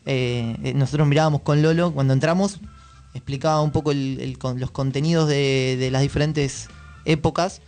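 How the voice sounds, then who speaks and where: A man's voice: medium pitch, fairly even intonation, normal speed, a little mellow, soft background music. A man with an Argentine accent hosting a casual radio conversation, phrasing his thoughts in a hesitant, reflective way. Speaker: radio host.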